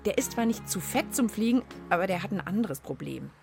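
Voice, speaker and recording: A woman's voice: mid-range voice, lively intonation, fairly quick, gravelly, over loud music. A young woman hosting a children's podcast, chatting playfully and explaining a hands-on experiment to kids. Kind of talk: casual hands-on science chat for kids.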